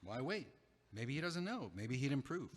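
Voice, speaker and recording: A man's voice: medium pitch, big pitch swings, moderate pace, slightly rough. A man playing a meek, anxious young guy in an audio play, speaking in an apologetic, stammering, hesitant way. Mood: tentative. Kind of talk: stage-style audio play dialogue. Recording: echoey hall with long reverb.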